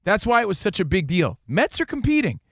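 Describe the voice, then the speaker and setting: A man co-hosting a sports radio show, talking in an energetic, jokey way about baseball and pop culture. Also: high-pitched, brisk, dry recording, studio quality. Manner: energetic, hyped, jokey rhymes. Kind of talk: casual sports talk show banter.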